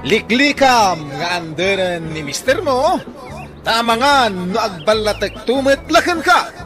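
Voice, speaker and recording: An Ilocano man announcing a radio drama in deliberate, emphatic phrases. His voice is high and swooping, delivered slowly over music. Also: expressive, a crowd in the background.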